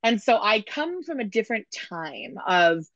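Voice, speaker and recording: A woman's voice: medium pitch, sing-song, a little slow, a bit bright, no echo. Woman of forty, dull dry call audio. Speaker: panelist, small business owner. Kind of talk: conversational panel advice. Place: indoors, on a video call. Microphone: video call microphone.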